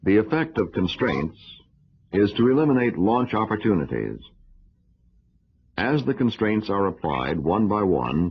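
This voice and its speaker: A man delivering scripted educational film narration in a formal, measured, instructional manner. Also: low-pitched, slightly rough. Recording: dull, lo-fi old film soundtrack.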